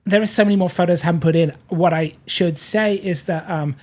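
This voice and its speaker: A man's voice: sits high, fairly quick, a little mellow. A British-accented man, a podcast producer, chatting casually with hesitant, self-deprecating restarts. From Europe. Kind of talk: casual chat.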